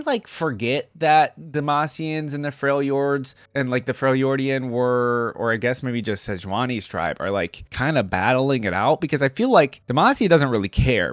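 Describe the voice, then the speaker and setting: A man's voice: medium pitch, dry recording, clean studio sound. A man hosting a reaction video, reading a story aloud and commenting informally in a casual style. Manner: informal, breaks off to comment on what he reads.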